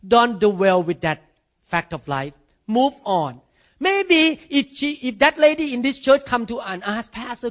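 A Thai man with a Thai accent preaches emphatically as a pastor, his grammar loose. His voice sits very high for a man. The recording is dull and lo-fi. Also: a bit bright. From Thailand. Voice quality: non-native grammar. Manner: emphatic preaching, voice rising and falling, calls of amen and hallelujah. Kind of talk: sermon.

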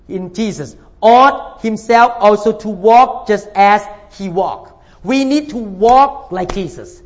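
A man, from Thailand, preaching a sermon as a pastor, emphatic and repeating his points while calling for amens from the congregation. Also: very high voice. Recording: dull lo-fi recording.

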